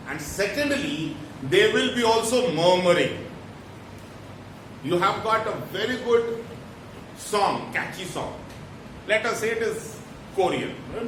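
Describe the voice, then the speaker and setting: A man with an Indian English accent lecturing a class, explaining terms step by step and repeating points for emphasis. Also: high voice. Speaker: teacher. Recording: echoey room, mic at a distance, noisy.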